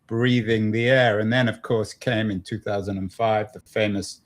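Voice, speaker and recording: A man hosting an interview conversationally, with probing questions and halting, repetitive phrasing. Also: fairly low pitch, little pitch movement. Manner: halting, probing, repeats himself. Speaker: interview host.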